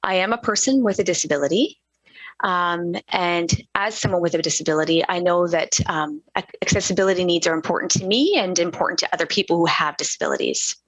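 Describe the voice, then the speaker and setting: Low-voiced woman interpreting a panel talk. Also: fairly bright tone, dry recording, poor audio. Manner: measured, halting, with frequent um and uh. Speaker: sign language interpreter voicing a panelist. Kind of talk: first-person spoken interpretation of a panel talk. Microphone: video call.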